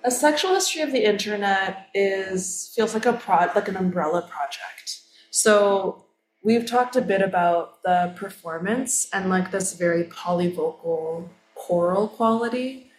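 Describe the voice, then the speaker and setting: A woman's voice: medium pitch, measured pace, a little hiss or hum. A woman presenting her creative project, explaining it in a thoughtful way. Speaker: artist presenting her work. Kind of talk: artist talk. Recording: echoey room.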